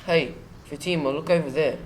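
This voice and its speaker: A man's voice: fairly high pitch, brisk, close to an everyday voice. A male performer playing a keen, helpful boy hero in an amateur school radio play. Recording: faint noise, birdsong behind.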